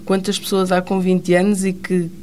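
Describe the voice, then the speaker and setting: A woman's voice: lowish voice, monotone, moderate pace, mellow. A woman, a guest on a radio talk show, explaining things earnestly.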